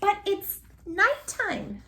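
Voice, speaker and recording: A woman voicing a young female storybook bat, squeaky, earnest and wide-eyed. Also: sing-song, very slow, fairly bright tone, a little hiss or hum, exaggerated voice. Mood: worried, amazed.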